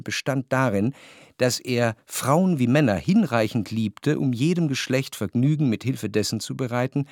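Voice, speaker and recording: An actor playing the reflective, observant first-person narrator of a German radio drama, speaking in a measured, literary way. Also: male voice, mid-range voice, no room sound, clean studio sound, natural voice.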